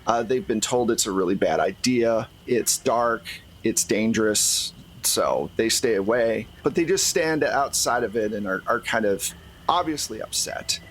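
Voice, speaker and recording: A man's voice: fairly low pitch, lively intonation, unhurried, slight background noise, low-quality recording. A man running a tabletop roleplaying game as game master, narrating and explaining in a conversational way.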